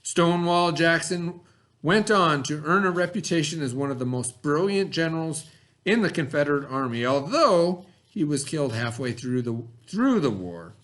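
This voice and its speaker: A man reading aloud like a teacher to pupils, pausing to explain words and put questions to his listeners. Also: fairly high pitch, animated, fairly bright tone. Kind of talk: educational read-aloud with asides and questions.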